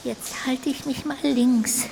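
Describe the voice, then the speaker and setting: A childlike little ghost from a radio play, cheerful and curious. It talks to itself at an unhurried pace with hesitant little exclamations. The sound carries long reverb and some background noise. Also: female voice, high voice, fairly even intonation, creaky, warm tone, heavily acted. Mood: curious, determined. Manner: musing aloud to itself, drawn-out hesitations and exclamations.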